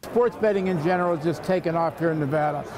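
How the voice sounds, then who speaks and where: A man's voice: fairly high pitch, fast talker, noisy background. An older man giving an unscripted interview as an oddsmaker. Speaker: sportsbook oddsmaker being interviewed. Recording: busy crowd behind. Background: busy crowd in a public venue.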